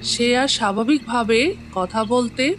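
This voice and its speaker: A woman playing an elderly village grandmother in a cartoon moral tale, caring and gravely admonishing. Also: on the high side, moderate pace, music in the background, natural voice. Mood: grave storytelling. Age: older. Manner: admonishing, storytelling.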